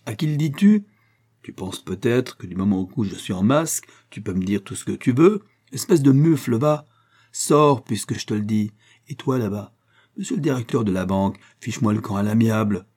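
A man's voice: fairly quick, dark tone, lightly acted. A man voicing a drunken, rowdy rich man who is insolent, mocking and overbearing in a storybook reading. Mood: furious, insolent. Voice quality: big muffled bass in the story, boisterous. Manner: insolent, bullying, familiar.

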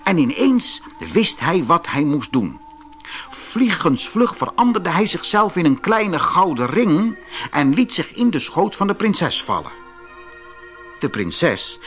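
A man narrating a scripted fairy tale audiobook in an expressive, dramatic storytelling manner. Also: a little high.

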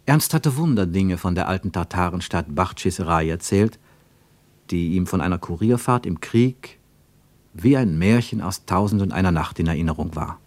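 Radio play narrator, lowish voice, dry studio sound, literary travel story. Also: male voice, animated, normal speed, darkish tone, dry recording. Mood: measured, descriptive.

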